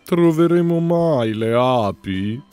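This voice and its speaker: A woman voicing a timid, worried male millipede in a children's bedtime story, with slow, anxious delivery. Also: a bass voice, expressive, warm tone, soft background music, voice pushed down, full character voice.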